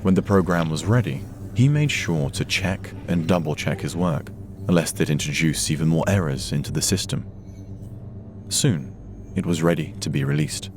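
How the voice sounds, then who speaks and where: A man narrating a dramatic science fiction story from a script in a measured, solemn way. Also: extremely low pitch. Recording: music behind the narration.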